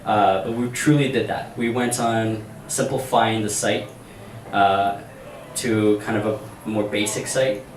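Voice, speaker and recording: Man, Brazilian accent, lowish voice, panel talk, echoey room heard from a distance. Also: fairly even intonation, a little slow. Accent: Brazilian Portuguese. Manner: casual, hesitant with fillers. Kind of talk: panel discussion. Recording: echoey room, distant mic, faint noise.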